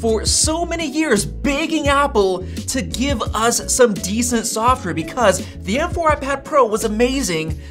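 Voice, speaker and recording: A man's voice: very high-pitched, animated, a little slow, few pauses. A young man presenting a scripted tech review to camera in an emphatic, opinionated way. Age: young adult. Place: small indoor studio set. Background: background music.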